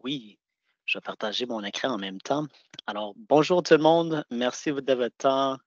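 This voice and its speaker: A man's voice: mid-range voice, moderate pace, dry recording. A man giving a product presentation over a video call, speaking conversationally with hesitant restarts. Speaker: sales engineer presenting products. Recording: dull, dry video-call audio.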